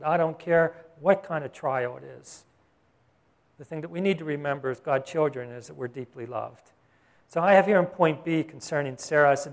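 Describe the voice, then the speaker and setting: A man delivering a Bible-teaching sermon in a relaxed, conversational manner. His voice sits a little higher than most men's. He keeps checking in with his listeners through short questions. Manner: conversational preaching, asks the congregation "Got it?". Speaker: pastor.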